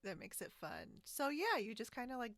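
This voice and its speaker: An American woman chatting casually as a podcast host, with a halting, wandering delivery. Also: on the high side, sing-song, creaky, fairly bright tone, no room sound, studio quality. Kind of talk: casual interview chat about a hobby. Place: remote split-screen video call.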